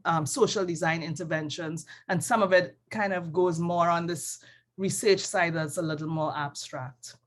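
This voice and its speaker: A woman with a Trinidadian accent, a design professor speaking in a casual panel conversation, thoughtful and hesitant, with many pauses and self-corrections over a video call. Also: fairly low pitch. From Trinidad and Tobago.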